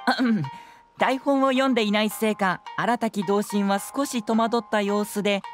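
A woman voices a boyish young detective acting as stage host in an anime game. He is clever and playful, narrating with polite showmanship in a mid-pitched voice, with soft music behind. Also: fairly even intonation, normal speed, lightly acted. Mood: storytelling. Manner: polite, theatrical presenting. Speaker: narrator and presenter.

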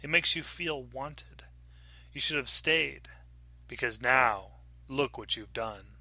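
Male reader as ghost woman, hushed scolding, dry close sound, horror audiobook. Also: medium pitch, takes it slowly, no echo, heavily acted. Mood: reproachful. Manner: quiet, scolding. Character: reproachful ghost of a dead woman.

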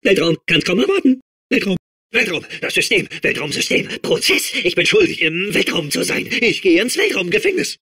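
A man playing a manic, childlike robot sphere obsessed with outer space in a video game, babbling and repeating himself in a strained, husky voice. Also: high voice, moderate pace, full character voice. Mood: giddy, excited. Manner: excitable, babbling, repeating words compulsively. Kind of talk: video game character voice clips.

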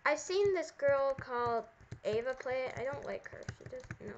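A female gamer with a high voice chats casually as she plays along in a roleplay. The recording has clear background noise and some clicking. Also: expressive, moderate pace, dull audio. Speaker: gamer playing a sister character in a roleplay video. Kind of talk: casual gameplay roleplay chat.

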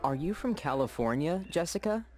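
A man playing a polite, curious young male student in a scripted coursebook audio dialogue, speaking clearly. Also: fairly high pitch, big pitch swings, normal speed, soft background music. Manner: clear, carefully spoken textbook dialogue.

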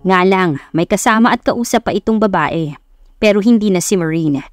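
A woman's voice: mid-range voice, normal speed, no room sound, studio quality. A woman with a Filipino accent reading a scripted romance story as an audiobook narrator.

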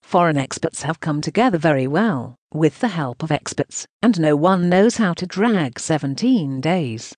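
Choppy synthetic news narrator, lively pitch. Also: moderate pace, few pauses, dry recording. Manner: choppy, reads punctuation marks aloud. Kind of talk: synthetic voice reading translated news text.

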